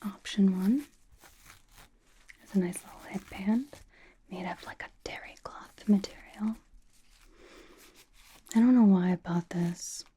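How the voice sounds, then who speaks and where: A woman voicing a blunt but cozy young female pop star in a breathy, casual, halting ASMR whisper, doting on the listener. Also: mid-range voice, mellow, a little hiss or hum, close to an everyday voice. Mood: wry, casual. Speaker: ASMR roleplay performer. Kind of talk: whispered ASMR personal-attention roleplay.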